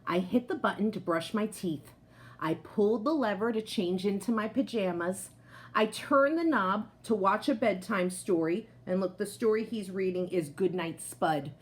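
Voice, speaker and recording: A woman reading a picture book aloud to children in an expressive storytelling style, like a teacher, with friendly asides to young listeners. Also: mid-range voice, normal speed.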